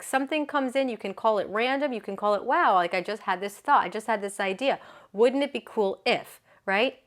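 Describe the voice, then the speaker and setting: Woman yoga coach, mid-range voice, warm earnest chatter, laughs easily, keeps checking in with her listener. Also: mid-range voice. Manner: chatty and earnest, often asking "right?". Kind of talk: motivational talk to camera.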